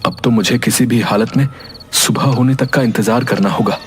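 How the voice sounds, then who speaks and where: A man playing an honest, humble Brahmin bullock-cart driver in a moral-story cartoon, speaking earnestly and deliberately. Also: medium pitch, over music, natural voice. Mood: dismayed. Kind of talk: acted Hindi moral-story cartoon dialogue.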